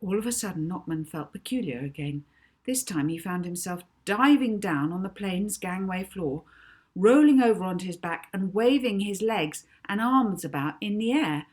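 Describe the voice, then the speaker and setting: A woman author reading her children's novel aloud with dramatic, deliberate emphasis. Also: medium pitch, animated, darkish tone, husky. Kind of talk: expressive children's audiobook narration.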